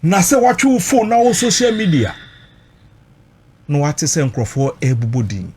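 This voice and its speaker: A man's voice: fairly high pitch, measured pace, darkish tone, slightly rough. A Ghanaian man recounting personal experience emotionally, mixing Twi and English, emphatic and dramatic, repeating phrases for weight.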